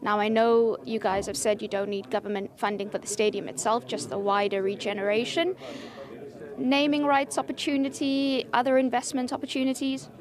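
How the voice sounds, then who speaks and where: A woman with a fairly high voice delivers broadcast sports news. She speaks as a seasoned reporter, measured and emphatic, leaving dramatic pauses between phrases. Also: steady tone.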